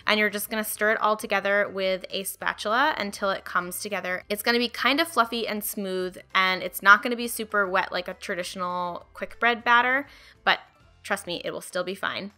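Young woman walking thru recipes, bright voice, chatty and friendly, faint music behind. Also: medium pitch, fairly even intonation, fairly quick. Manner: friendly, chatty, addresses viewers directly. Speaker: cooking channel host. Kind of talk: upbeat recipe walkthrough. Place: home kitchen, indoors.

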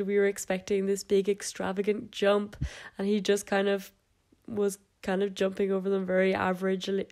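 A woman's voice: mid-range voice, dark tone. A young woman, stuffed up and nasal from a cold, casually narrating videos of her horse. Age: young adult. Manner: casual, chatty, with fillers and little laughs. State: congested with a cold. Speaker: equestrian vlogger.

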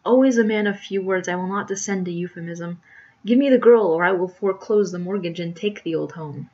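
A woman's voice: fairly low pitch, brisk, mellow, muffled recording, close to an everyday voice. A woman voicing a dastardly male squire from a storybook melodrama, stern, snapping, imperious and pompous. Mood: menacing, imperious.

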